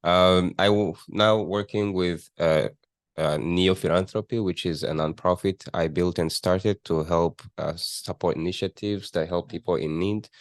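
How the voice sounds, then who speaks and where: Deep-voiced young man from Rwanda. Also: steady tone, no room sound, studio quality. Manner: thoughtful, halting, with frequent restarts and fillers. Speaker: guest, student entrepreneur. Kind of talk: conversational interview.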